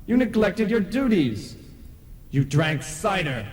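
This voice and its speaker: Pompous, high-voiced ghost chairman. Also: sing-song, slow, a little mellow, heavily acted. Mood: indignant. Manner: pompous, declamatory, officious. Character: stern, pompous male ghost chairman of a tribunal. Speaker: presiding judge. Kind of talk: old-time radio play acting. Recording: echoey long reverb, faint tape noise.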